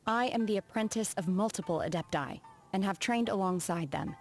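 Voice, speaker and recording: A woman voicing an aloof, soft-spoken young apprentice of immortals in a video game, measured, reserved and formal. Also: medium pitch, lightly acted. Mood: composed, matter-of-fact. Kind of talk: scripted video game character voice lines. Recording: music behind the voice.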